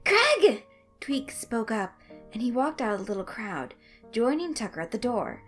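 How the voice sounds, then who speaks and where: A woman narrates a story with very lively intonation. Her voice sits on the high side, and the scripted reading is expressive, with music underneath. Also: normal speed.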